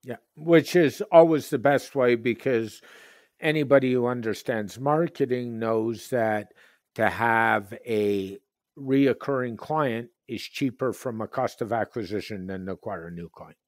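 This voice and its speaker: A man's voice: medium pitch, unhurried, dry recording, studio quality. A man hosting a podcast interview, affirming his guest and asking follow-up questions. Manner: affirming, asks for confirmation. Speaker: podcast host. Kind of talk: conversational interviewing.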